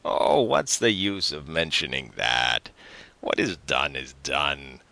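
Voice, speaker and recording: Guy doing a sly grinning tailor neighbour in an audiobook, way too chummy, forceful and effusive. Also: rumbling low, sing-song, slow, vocal fry, no echo, heavily acted. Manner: effusive, familiar.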